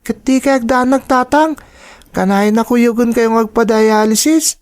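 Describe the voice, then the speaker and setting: A woman's voice: on the high side, unhurried, a little mellow, close to an everyday voice. A woman with an Ilocano Filipino accent playing a dutiful, anxious student in an audio drama. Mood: apologetic. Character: dutiful, anxious student child devoted to struggling parents.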